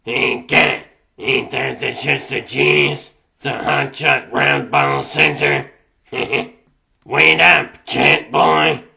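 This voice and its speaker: Cartoon dog goon: very gravelly, very slow, slangy fake-friendly threat. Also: male voice, medium pitch, fairly even intonation, sharp tone, slight background noise, heavily acted. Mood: mock-friendly menace. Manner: slangy, mock-friendly menace. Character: Mad Dog Earl, thuggish dog gangster henchman. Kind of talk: comic dub cartoon acting.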